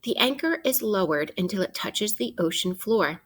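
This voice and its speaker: A woman teacher reading a children's nonfiction book aloud in an expressive, instructive way, addressing young listeners directly. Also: medium pitch, normal speed, slightly rough.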